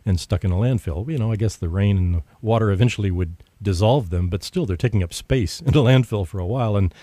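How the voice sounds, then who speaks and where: A man's voice: on the low side, quick pace, mellow, no echo. A man hosting a radio interview show, talking conversationally with frequent fillers. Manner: conversational, many fillers and restarts.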